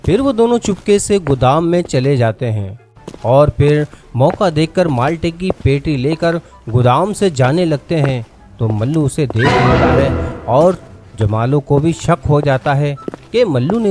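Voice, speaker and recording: A man's voice: fairly high pitch, lively intonation, music in the background. A man narrating a scripted children's story as its storyteller.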